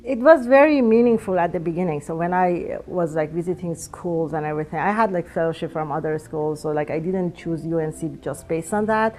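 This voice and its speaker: A woman's voice: on the low side, a little fast, hardly any pauses, darkish tone, a little hiss or hum. A woman in her thirties whose first language is Farsi, answering interview questions earnestly and sometimes searching for words. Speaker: alumna guest.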